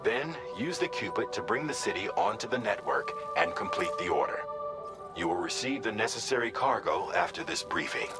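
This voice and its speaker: A man playing a composed military operations commander in a sci-fi game, giving an orderly, formal mission briefing. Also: fairly low pitch.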